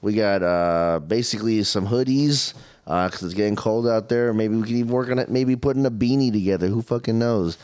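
A worn-out young man co-hosting a casual podcast, talking in a relaxed, slangy way. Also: lowish voice, smooth. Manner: relaxed, drawn-out slangy talk. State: worn out after a weekend festival. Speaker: podcast co-host. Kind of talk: casual chat.

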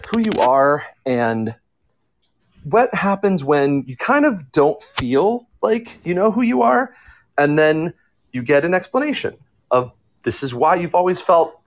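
A man speaking informally as a writer on a podcast panel, explaining in an earnest, emphatic way. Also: fairly high pitch.

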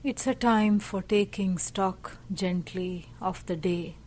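A woman leading a guided meditation as a teacher, speaking gently in short, measured phrases with pauses. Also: mid-range voice. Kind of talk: slow guided meditation narration.

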